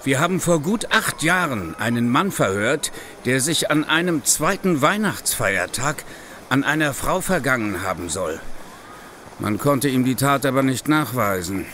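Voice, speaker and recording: An actor playing a gruff, sarcastic male police chief inspector in an audio drama, speaking insistently. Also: mid-range voice, lively intonation, a little slow, bright, forceful tone, a little hiss or hum. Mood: grim, certain. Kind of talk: German audio drama acting.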